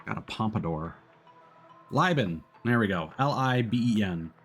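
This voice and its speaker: A man's voice: fairly low pitch, lively intonation, unhurried, slightly rough. A man doing casual video game livestream commentary, talking through what is on screen as he plays. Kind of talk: casual game stream commentary, reading dialogue aloud. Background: background music.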